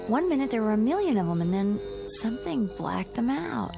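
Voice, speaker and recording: An actress playing a teasing, patient young New York wife, in radio drama style with wry, playful banter. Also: female voice, on the high side, big pitch swings, a little fast, gravelly, over music. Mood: puzzled wonder.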